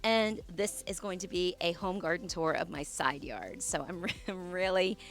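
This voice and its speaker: A woman in her forties, hoarse from a cold, chatting casually as she leads a tour of her garden outdoors. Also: lowish voice, expressive, normal speed. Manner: chatty, warm asides with fillers and restarts. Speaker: landscape designer and channel host. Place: outdoors in her own garden side yard. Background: soft music and running water.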